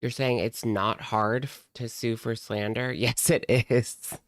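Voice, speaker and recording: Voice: medium pitch, level tone, slow pace, dry recording, studio quality. A reaction channel host talking casually in a blunt, mocking and sardonic way while commenting on a video.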